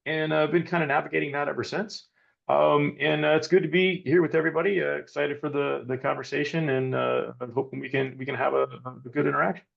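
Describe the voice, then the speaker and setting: Man on a remote call, gravelly and fairly high, lo-fi audio, chatty. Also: steady tone. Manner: measured, chatty, many fillers. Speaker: panelist. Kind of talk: casual panel introduction. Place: remote, joining online.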